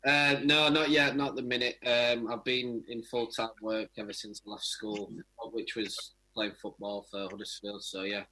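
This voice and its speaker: A man's voice: mid-range voice, little pitch movement, moderate pace, hardly any pauses, bright, forceful tone, rough recording. A young man, a footballer, giving casual answers in a radio interview. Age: young adult.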